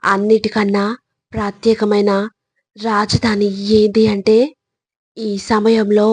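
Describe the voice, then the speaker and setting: A woman delivering scripted motivational narration in short, deliberate phrases, earnestly urging the listener on. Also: mid-range voice, completely flat delivery, a little mellow, slightly rough, no echo. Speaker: motivational speaker.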